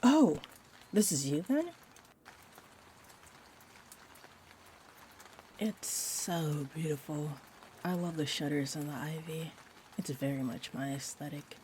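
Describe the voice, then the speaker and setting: Woman playing a low-voiced, sweet, shy vampire in rain. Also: measured pace. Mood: admiring, caring. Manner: hesitant, gentle, rambling. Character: sweet, shy, rambling young female vampire. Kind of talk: roleplay audio, intimate one-sided chat. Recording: steady rain-like ambient noise. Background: rain ambience.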